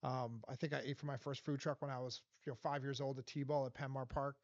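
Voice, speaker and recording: A man from Los Angeles in his forties, talking as a guest in a casual interview, emphatic and animated. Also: medium pitch, a little fast, no echo. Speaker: guest, vendor advocate. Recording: dull dry podcast audio.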